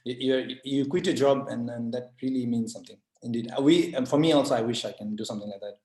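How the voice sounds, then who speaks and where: A man's voice: mid-range voice, steady tone, quick pace, darkish tone. A man from Nepal giving a casual, effusive and earnest testimonial as a former course student. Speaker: IoT solution architect, course alumnus giving testimonial. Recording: roomy echo.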